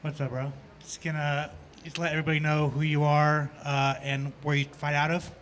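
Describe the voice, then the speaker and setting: Casual male interviewer, slightly high voice. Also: little pitch movement, moderate pace, a bit bright, slight background noise. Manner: casual, friendly, uses slang.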